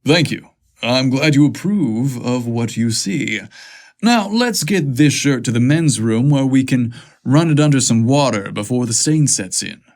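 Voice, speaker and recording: A man voicing a confident, flirtatious, athletic male boss in an audio story, self-assured and smooth-talking. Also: medium pitch, expressive, a little slow, darkish tone. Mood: pleased.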